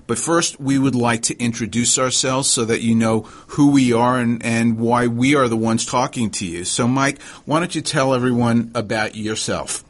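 A middle-aged male radio host with a New York accent and a medium-pitched voice. He talks conversationally as a physician on air, halting and pausing often as he finds his way through a sentence. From New York, USA. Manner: halting, hesitant delivery with frequent pauses.

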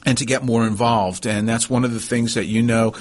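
A middle-aged male radio host with a New York accent and a medium-pitched voice. He talks conversationally as a physician on air, halting and pausing often as he finds his way through a sentence. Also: steady tone. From New York, USA. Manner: halting, hesitant delivery with frequent pauses.

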